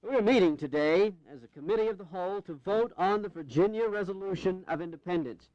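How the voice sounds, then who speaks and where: A man plays an officious colonial chairman in a historical audio drama. He has a high voice and a declamatory, procedural delivery, captured in slightly muffled lo-fi sound. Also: quick pace, darkish tone, no echo, natural voice. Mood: official. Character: formal, flustered presiding officer of colonial congress.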